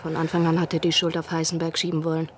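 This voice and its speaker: An actress in a German radio drama playing a desperate young spy in love, speaking with earnest intensity and sometimes a hushed breath. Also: female voice, deep voice, completely flat delivery, fast talker, a little hiss or hum, close to an everyday voice. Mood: insistent, arguing. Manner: earnest, intense, at times dropping to breathy whispers. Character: desperate female spy in love, pleading and persuading.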